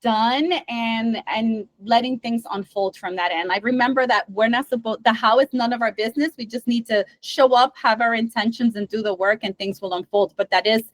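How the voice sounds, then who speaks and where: A fairly high-pitched woman with a light, mixed Brooklyn accent speaks earnestly and upbeat as an interview guest. She laughs easily between thoughts. Her voice sounds dry and close, with little room around it. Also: fairly even intonation, normal speed, few pauses, no echo. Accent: mixed Brooklyn and Massachusetts. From Brooklyn, New York. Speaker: guest, health coach. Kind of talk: casual interview chat.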